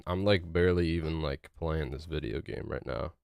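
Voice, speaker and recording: A young male streamer with a low, medium-deep voice talks loosely to his chat while he plays, wandering from thought to thought with plenty of "like" and restarts. The sound is dry and close, as if right into a desk mic. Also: normal speed, creaky, darkish tone, clear, resonant tone, no echo. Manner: rambling, filler-heavy, laid-back. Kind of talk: casual livestream chat while gaming.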